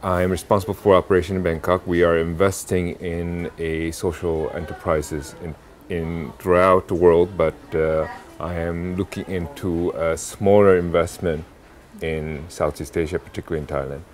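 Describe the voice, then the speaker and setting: A young adult man from South Korea answering interview questions about impact investing, pausing often with fillers and restarts. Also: deep voice, fairly even intonation. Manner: halting, many fillers and restarts.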